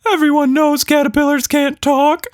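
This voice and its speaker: A man voicing a tiny, cheeky monster in a squeaky falsetto, playful and whiny, for a children's story. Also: flat delivery, moderate pace, dry recording, clean studio sound. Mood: teasing. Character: tiny cheeky shape-shifting pink monster, silly and shy.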